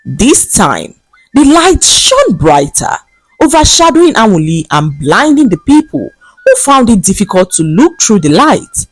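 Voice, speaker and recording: A woman narrating a scripted folktale as a storyteller, in a dramatic, expressive manner. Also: medium pitch, unhurried, no echo, low-quality recording. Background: faint background music.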